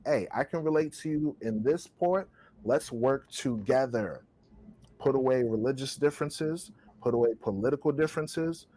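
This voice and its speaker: A man's voice: medium pitch, darkish tone, no room sound. Emphatic man. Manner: emphatic, rhetorical, quotes scripture. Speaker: podcast co-host. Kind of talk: impassioned discussion, preacher-like.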